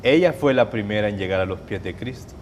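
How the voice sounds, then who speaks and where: Salvadoran man giving measured testimony. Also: lowish voice, expressive, highs cut off, slight background noise. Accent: Salvadoran Spanish. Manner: measured, hesitant, with restarts and fillers. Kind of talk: personal testimony in an interview.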